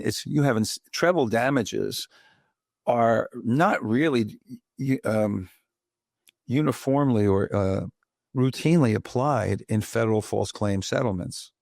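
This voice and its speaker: Gravelly New man hosting a podcast. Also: medium pitch, unhurried, darkish tone, dry recording, clean studio sound. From Bronx, New York. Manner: chatty, asks leading questions with frequent "right?". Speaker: podcast host. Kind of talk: conversational interview.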